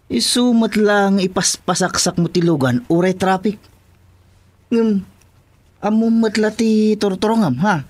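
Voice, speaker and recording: An actor playing a grumbling, nervous henchman in an Ilocano radio drama, with a husky, strained voice. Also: high voice, unhurried. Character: grumbling, nervous male henchman riding as passenger.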